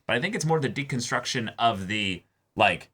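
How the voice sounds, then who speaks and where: A man co-hosting a reaction show, talking through his analysis casually in a halting, emphatic way. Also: medium pitch, expressive, bright, forceful tone, breathy edge.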